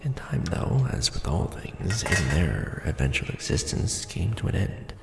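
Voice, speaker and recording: Man acting a gravelly, half-whispering vampire lord. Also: low voice, big pitch swings, very noisy, full character voice. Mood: explaining, solemn. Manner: formal, archaic wording, measured delivery with pauses. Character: courtly undead vampire lord, ancient, patient, mannerly. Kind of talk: ASMR roleplay addressed intimately to the listener. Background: music.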